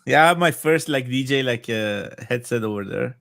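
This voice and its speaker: Native Farsi-speaking man, gravelly and halting. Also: mid-range voice, animated, a bit bright, no echo, studio quality. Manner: halting, repetitive phrasing as a second-language speaker. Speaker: podcast guest, video game collector. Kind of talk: casual chat.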